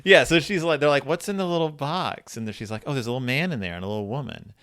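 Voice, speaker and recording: A man hosting a casual discussion, retelling stories and reading passages aloud in an animated storyteller's manner. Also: mid-range voice, quick pace, dry recording, studio quality. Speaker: podcast host discussing horror fiction.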